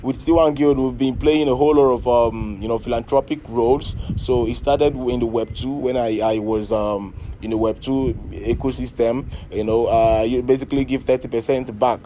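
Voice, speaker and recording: A man with a Nigerian accent giving a thoughtful interview answer, pausing often with fillers. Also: mid-range voice, steady tone, dark tone, a little hiss or hum. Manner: thoughtful, hesitant with many fillers. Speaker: artist contributor.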